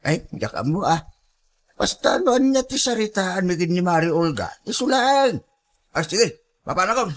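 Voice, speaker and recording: A man's voice: high voice, sing-song, normal speed, a bit bright. A man plays a middle-aged village moneylender in a radio drama. He has a Filipino Ilocano accent. He comes across as wary and practical, asking questions in a matter-of-fact way.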